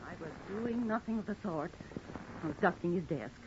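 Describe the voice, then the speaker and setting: An actress playing a prim, high-strung, devoted housekeeper in an old-time radio drama, stiff, offended and plaintive. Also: female voice, animated, fairly quick, darkish tone, lightly acted. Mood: indignant. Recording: dull, noisy vintage radio recording.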